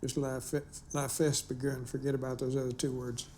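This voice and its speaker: A man who speaks Danish fluently, coaching a friend patiently and with gentle amusement. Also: mid-range voice, level tone, fairly quick, a little hiss or hum. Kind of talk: casual chat.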